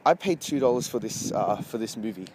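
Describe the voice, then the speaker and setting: A man with a fairly low voice talks through a casual amateur movie review. He is hesitant and halting, often rephrasing as he goes. He is walking outdoors along a street, and the noise of traffic is clearly heard behind him. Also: expressive, a little mellow. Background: street and vehicle noise.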